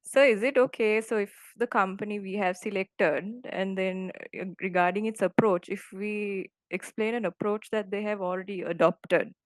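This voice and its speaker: A woman's voice: medium pitch, fairly even intonation, moderate pace, hardly any pauses, dry recording, rough recording. A woman student politely and hesitantly asking her lecturer a question.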